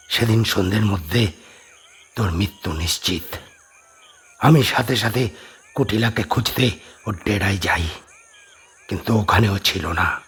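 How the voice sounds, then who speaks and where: A man acts a solemn spiritual adept with occult powers in a horror audio play. The voice is very husky and breathy. The speech is grave and deliberate, broken by pauses. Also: lowish voice, fairly bright tone, exaggerated voice. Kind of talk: Bengali horror audio drama acting.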